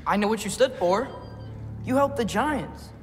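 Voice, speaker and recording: A man's voice: high-pitched, expressive, measured pace, in a large hall. A young male actor playing an earnest, idealistic teenage boy in a video game. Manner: earnest, persuasive. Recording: game cutscene audio with music.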